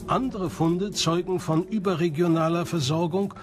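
A man's voice: high voice, normal speed. A man reading scripted documentary narration. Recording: music bed under the voice. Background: background music.

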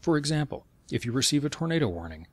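A man reading scripted instructional narration in a measured, step-by-step way. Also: a little high, very animated, dry recording.

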